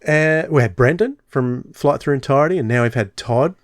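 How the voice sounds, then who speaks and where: A man's voice: medium pitch, normal speed, no room sound, studio quality. A man hosting a podcast, chatting casually and telling stories with many fillers. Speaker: podcast host.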